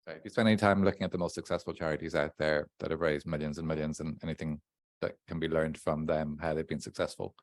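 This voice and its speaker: Very deep-voiced man asking from afar. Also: flat delivery, fast talker. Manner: rephrases his question. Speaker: audience member asking a question. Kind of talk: question from the floor.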